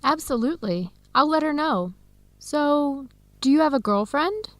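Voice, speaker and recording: Friendly curious student researcher, high bouncy voice, chatty, radio drama. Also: female voice, animated, a little slow, fairly bright tone, dry recording. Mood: chatty, confiding. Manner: chatty, inquisitive. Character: friendly, curious, earnest young woman researcher. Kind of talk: educational radio drama acting.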